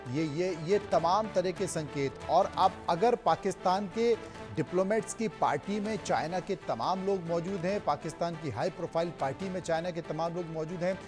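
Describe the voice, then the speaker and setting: A man's voice: high-pitched, normal speed. Emphatic male news anchor over music.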